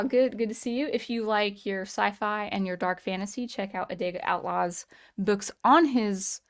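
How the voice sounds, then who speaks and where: A woman hosts a live stream in a loose, chatty way, greeting viewers by name and restarting her sentences often. Her voice sounds a little strained and sits at a middle pitch. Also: gravelly. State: voice slightly strained. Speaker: livestream host. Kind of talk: casual live-chat streaming.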